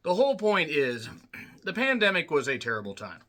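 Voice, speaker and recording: A man with a slightly rough, worn voice delivers a film review in an unscripted monologue. He talks bluntly and forcefully, swears freely and keeps clearing his throat. His tone is sharp and bright. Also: on the high side, wildly swooping pitch, moderate pace. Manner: blunt, profane ranting with frequent throat clearing. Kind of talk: casual spoken movie review.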